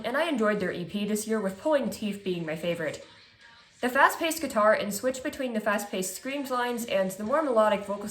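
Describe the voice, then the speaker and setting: A congested woman hosting a music ranking video, reading scripted commentary in a casual, slightly sardonic way. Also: mid-range voice. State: congested, stuffy nose. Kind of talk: scripted list commentary read aloud. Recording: echoey room.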